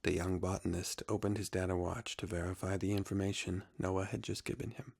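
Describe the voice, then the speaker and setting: A man's voice: low voice, flat delivery, a little fast, creaky, a little mellow, husky. A man narrating a scripted adventure story.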